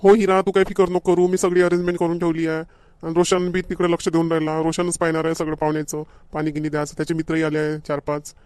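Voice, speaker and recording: A man's voice: fairly high pitch, completely flat delivery, quick pace, a little mellow, no echo, lightly acted. A man voicing a fussy middle-aged village father in a cartoon comedy, with a rural Maharashtrian accent and nagging manner. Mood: reassuring. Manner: nagging, repetitive urging. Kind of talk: homemade cartoon comedy dialogue.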